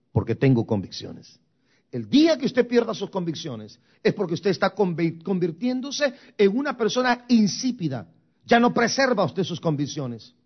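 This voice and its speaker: A man's voice: high-pitched, muffled recording. Emphatic Guatemalan-born male preacher. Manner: emphatic preaching with rising and falling intensity. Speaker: pastor. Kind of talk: sermon. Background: soft music.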